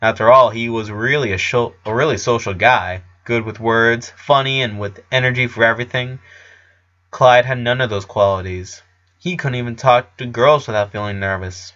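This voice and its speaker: A man reading a story aloud as narrator, in an expressive storytelling style. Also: mid-range voice, normal speed, highs cut off. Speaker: narrator of a fan fiction story.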